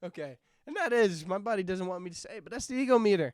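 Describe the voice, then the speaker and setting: A young man chats casually as a podcast co-host, his voice a touch higher than most and smooth despite a stuffy, congested nose. He rambles and laughs easily. The sound is close and dry. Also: animated, fast talker, dry recording. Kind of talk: casual chat.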